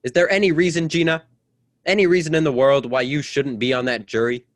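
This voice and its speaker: A man playing a stuffy, domineering politician husband in a radio melodrama, with a brisk, formal, patronizing manner. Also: on the high side, fairly even intonation, no room sound, lightly acted. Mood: insistent, indignant. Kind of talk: old-time radio drama acting.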